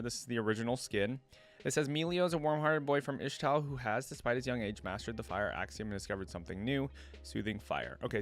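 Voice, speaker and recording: A man with a mid-pitched voice hosts a reaction video in a loose, conversational way. He rambles and thinks out loud as he comments and reads bits aloud. Music plays behind him. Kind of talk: casual reaction commentary with some reading aloud.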